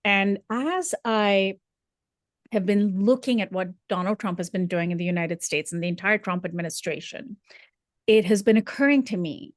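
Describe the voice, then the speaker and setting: A woman's voice: mid-range voice, moderate pace, no room sound. Earnest woman, dry close sound. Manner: earnest, thoughtful, with frequent fillers and restarts. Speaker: senior correspondent. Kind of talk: conversational commentary.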